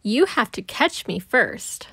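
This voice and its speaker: A woman's voice: fairly high pitch, very animated, slow pace, bright, forceful tone, breathy edge, dry recording, studio quality, exaggerated voice. A woman voicing a mischievous, whiny little child with taunting exclamations in a children's storybook. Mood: cheeky, defiant. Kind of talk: children's storybook read-aloud.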